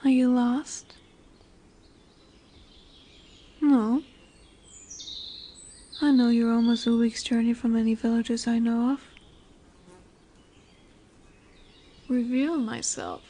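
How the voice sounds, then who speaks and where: A woman's voice: a little high, steady tone, a little slow, warm tone. A woman voicing a large lamia, a seductive serpent woman who is commanding yet soothing, in a formal, hypnotic, coaxing manner for a roleplay audio drama. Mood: imperious, curious. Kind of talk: roleplay audio drama with soft whispered passages. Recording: noisy woodland ambience with birdsong. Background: birdsong and forest ambience.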